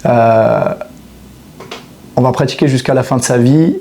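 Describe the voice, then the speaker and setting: A man's voice: mid-range voice, a little slow, creaky, warm tone, slight background noise. A man in his thirties, a fitness coach, talking reflectively to camera in a casual monologue, full of hesitations and restarts. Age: 30s.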